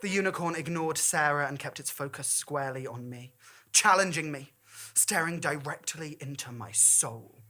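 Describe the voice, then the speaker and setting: A woman's voice: low-pitched, moderate pace, sharp tone. A woman performing a dramatic first-person story monologue with intense, theatrical delivery. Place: small theatre stage.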